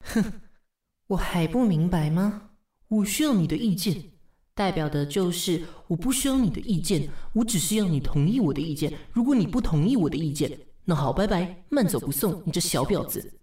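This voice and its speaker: An actress voicing a sassy, sharp-tongued, haughty office woman with a husky voice, in an audio drama. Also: on the low side, expressive, moderate pace. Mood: sarcastic. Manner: sarcastic, haughty.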